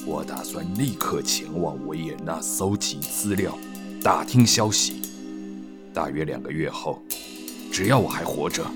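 A man playing a grave middle-aged aristocrat in a radio drama, with solemn, theatrical delivery. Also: extremely low pitch. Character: grave middle-aged aristocratic father, reading a grieving letter. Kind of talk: Mandarin radio drama acting.

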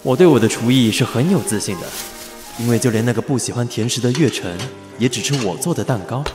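A man's voice: medium pitch, expressive, fast, natural voice. Easygoing young Taiwanese man, actor-played, music behind. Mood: earnest, reflective. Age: young adult. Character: easygoing, affectionate young male pastry chef's assistant. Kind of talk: audio drama acting with narration.

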